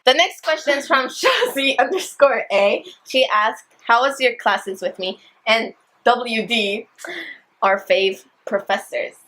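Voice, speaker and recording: Slow-reading young woman off camera. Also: fairly high pitch, pushed and bright, rough recording. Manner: slow, teasing, interjecting. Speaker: younger sister reading out questions.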